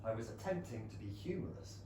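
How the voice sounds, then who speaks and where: An actor playing a proud, aloof Regency gentleman with a formal, dry, clipped manner. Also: fairly low pitch, very animated, a little fast. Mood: dry, stiff. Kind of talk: period comedy stage acting. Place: small London theatre. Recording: very echoey theatre, far mic, faint noise.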